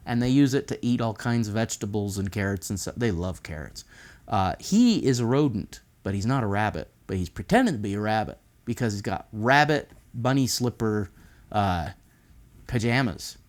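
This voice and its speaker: Male read-aloud host, mid pitch, lively swooping delivery, playful, chatty asides. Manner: playful, childlike enthusiasm. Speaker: host reading a picture book aloud.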